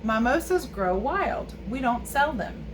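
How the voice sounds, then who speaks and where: A woman's voice: a little high, animated, a little slow, noisy background, pitched above the performer's own voice. A woman voicing a matter-of-fact male garden store clerk in a storybook read-aloud.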